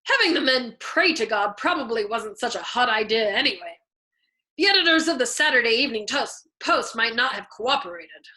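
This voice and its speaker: Woman acting a pompous, blustering, high-pitched colonel. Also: lively intonation, normal speed, sharp tone. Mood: grudging. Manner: blustering, indignant. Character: pompous, vindictive, insecure military colonel, male.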